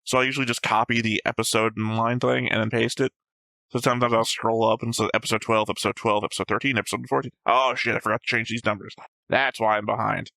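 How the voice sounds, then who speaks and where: A man with a bright, sharp voice talks casually as co-host of a discussion show. He is short on sleep, argumentative and often restates his own points. The sound is dry, with little room in it. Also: medium pitch, flat delivery, quick pace, creaky, no echo. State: sleep-deprived. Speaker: co-host of an anime reaction show. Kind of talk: casual discussion of episode notes.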